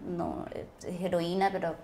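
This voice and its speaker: A woman's voice: on the low side, steady tone, measured pace, vocal fry, mellow, a little hiss or hum. A Chilean woman, an actress being interviewed, reflecting conversationally and often searching for her words.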